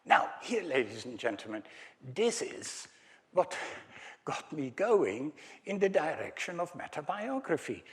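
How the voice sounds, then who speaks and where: A man's voice: on the high side, big pitch swings, measured pace. A man with a Dutch accent giving a conference lecture, hesitant and full of fillers, with wry asides to the audience. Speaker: academic speaker.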